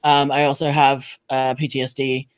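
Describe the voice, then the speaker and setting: Male podcast panelist, casual explainer, mid-range voice for pitch, very dry recording. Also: monotone, normal speed, no echo. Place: remote video call.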